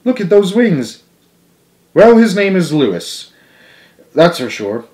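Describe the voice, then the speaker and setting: A young man voicing a stern, brisk female first-grade teacher in a storybook, with emphatic classroom commands. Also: high voice, sing-song, a little mellow, voice pushed up, full character voice. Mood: amazed, bossy.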